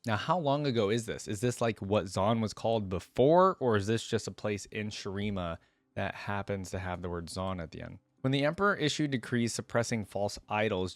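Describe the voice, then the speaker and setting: Low-voiced man hosting, dry close sound. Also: no echo. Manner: conversational, curious, thinking aloud. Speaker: reaction video host. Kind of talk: casual reaction commentary mixed with reading lore aloud.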